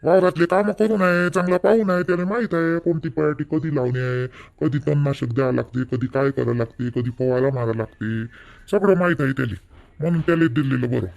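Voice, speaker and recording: A man's voice: fairly high pitch, brisk, gravelly, darkish tone. A man voicing an irritable middle-aged village father in a cartoon family drama, arguing in colloquial Varhadi Marathi. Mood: matter-of-fact. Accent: Varhadi Marathi from Vidarbha. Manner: colloquial, argumentative.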